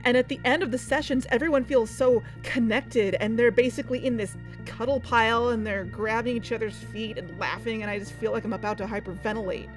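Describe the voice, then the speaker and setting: A woman's voice: fairly high pitch, steady tone, a little fast, hardly any pauses, faint music behind, lightly acted, rough recording. An actress playing a skeptical, anxious amateur investigator in a naturalistic fiction podcast, candid and conversational in her doubts.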